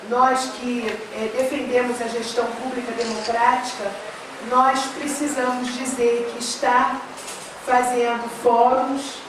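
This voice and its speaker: A woman's voice: fairly high pitch, background noise. Middle-aged Carioca woman on a panel, earnest and filler-heavy, set back from the mic in a roomy hall. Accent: Carioca Portuguese, mixed with São Paulo. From Rio de Janeiro, Brazil. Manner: earnest, many fillers, warms to emphatic. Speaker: education official speaking on a panel. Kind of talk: public forum speech. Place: large hall at a public forum. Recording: echoey hall, speaker far from mic.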